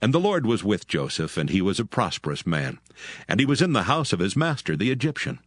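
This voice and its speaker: A man narrating an archaic scripture text in a measured, solemn reading. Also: lowish voice, sing-song, dry recording, clean studio sound.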